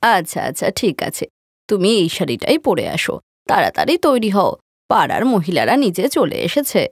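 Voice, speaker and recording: A woman's voice: mid-range voice, big pitch swings, normal speed, husky, no room sound, clean studio sound, pitched below the performer's own voice. A woman voicing a scheming, boastful older mother-in-law in a cartoon story, bossy and blustering.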